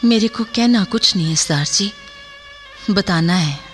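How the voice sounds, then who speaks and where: An actress playing a steadfast Sikh soldier's wife in a Hindi radio play, speaking respectfully but firmly. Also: female voice, mid-range voice, lively intonation, measured pace, a bit bright, faint music behind. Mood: firm, aggrieved.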